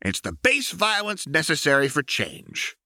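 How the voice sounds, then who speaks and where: A man's voice: fairly high pitch, wildly swooping pitch, measured pace, bright, forceful tone, no echo, clean studio sound, voice pushed up, exaggerated voice. A man voicing a manic, gleefully violent young woman crime baron in an audiobook, with a brash, taunting delivery.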